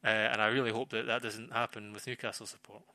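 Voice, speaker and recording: A man talking casually as a football pundit on a podcast, halting and repeating phrases as he thinks aloud. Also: lowish voice, level tone.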